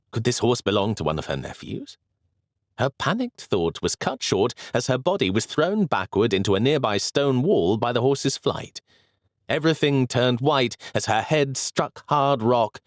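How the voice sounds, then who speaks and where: A man narrating a period novel as an audiobook in a measured, expressive storytelling manner. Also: medium pitch, no room sound, studio quality.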